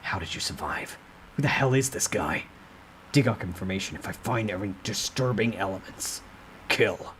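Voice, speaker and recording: A man's voice: fairly low pitch, expressive, normal speed, fairly bright tone. Guy doing a ruthless young tough guy from a novel, curt bossy threats, cold, noisy background. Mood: suspicious, menacing. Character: intimidating young man, cold ruthless regressor, commanding. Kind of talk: dramatic novel reading.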